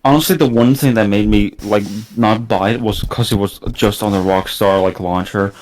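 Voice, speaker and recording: A young man from Estonia whose first language is not English talks in a fairly low voice, stopping and restarting often. He chats about games in a loose, casual way, swearing as he goes. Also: a little mellow. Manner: halting, many fillers and restarts, swears casually. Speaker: podcast guest. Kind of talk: casual gaming chat.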